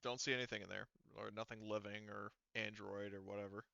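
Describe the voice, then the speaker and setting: A man's voice: lowish voice, level tone, fairly quick, creaky, pushed and bright, dry recording, dull audio. A man acting as game master, casually narrating a tabletop role-playing game in an informal way.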